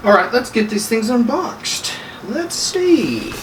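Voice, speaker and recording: A man's voice: extremely high pitch, big pitch swings, slow. A man hosting a casual unboxing review, talking informally and thinking aloud as he handles things. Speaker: gadget review channel host. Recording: distant mic, clearly noisy, handling crinkle.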